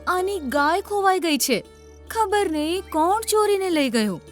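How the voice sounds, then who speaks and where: A woman's voice: very high voice, lively intonation, unhurried, faint music behind. A woman voicing an earnest, trusting village headwoman in a children's cartoon, with a devout, pleading manner. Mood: reverent, pleading.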